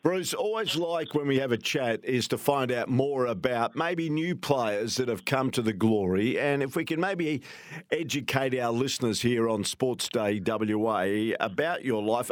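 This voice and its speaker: A man hosting a sports radio show, asking measured questions packed with stats. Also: medium pitch, no room sound, clean studio sound. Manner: fact-laden, measured questioning.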